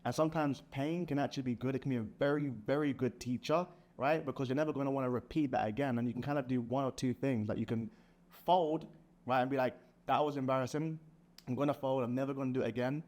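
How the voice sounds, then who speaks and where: A man's voice: mid-range voice, fairly even intonation, fast, a little mellow. A young man in a casual business chat, talking in a motivational way with lots of "like" and "man". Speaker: sales partner.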